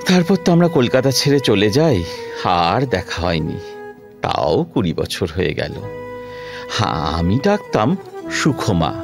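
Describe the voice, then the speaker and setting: A man's voice: fairly low pitch, big pitch swings, a little slow, vocal fry, exaggerated voice. A man playing a kind young doctor of about thirty in a Bengali audio drama, earnest and hesitant, with a Kolkata accent. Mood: wistful. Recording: music behind the voice.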